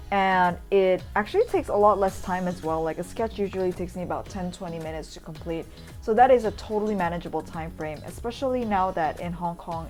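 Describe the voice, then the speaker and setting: A woman's voice: lowish voice, a little mellow. A woman from Indonesia giving a casual, rambling voice-over tour in an informal, chatty way, as a vlogger does. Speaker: vlogger, urban sketcher. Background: background music.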